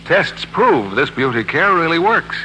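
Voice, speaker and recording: A man delivering a scripted 1940s radio advertising pitch, polished and salesmanlike. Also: very animated, measured pace, sharp tone, faint music behind. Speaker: commercial announcer. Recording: noisy, humming 1940s radio broadcast.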